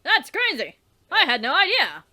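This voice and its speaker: A young woman with a very high, bright voice reads a webcomic aloud in a theatrical way, her pitch jumping all over the place. She swears freely and drifts into offhand, rambling asides as she hosts a let's play. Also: huge pitch swings, normal speed. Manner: theatrical reading, swears and trails off. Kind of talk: reading a webcomic aloud with commentary.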